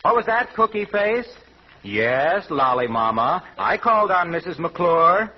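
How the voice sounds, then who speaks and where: A slightly noisy old radio recording has a chummy American salesman. His high, swooping voice is polished and ingratiating, with sugary sweet talk. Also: big pitch swings, measured pace. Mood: sugary, gushing. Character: smooth, chummy, sugary-talking salesman pal. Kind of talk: 1940s American radio comedy acting with sales pitch. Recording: muffled, hissy vintage radio recording.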